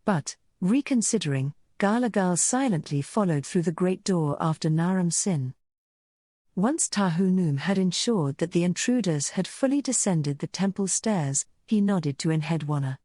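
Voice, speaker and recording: Female storyteller for visual novel narration, soft mellow tone, close dry studio sound. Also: lowish voice, animated, normal speed, no room sound. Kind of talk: scripted dramatic storytelling narration.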